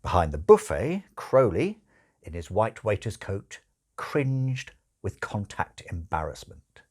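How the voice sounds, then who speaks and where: A man reading a literary audiobook as narrator, expressive, with wry, deliberate phrasing. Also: on the low side.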